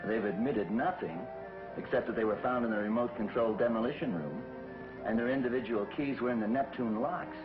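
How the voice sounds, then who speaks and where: A man's voice: mid-range voice, fairly quick, natural voice. An actor playing a brave young male space hero who reasons firmly, in a 1950s TV series. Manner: firm, reasoning. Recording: dull 1950s TV soundtrack, roomy, with music.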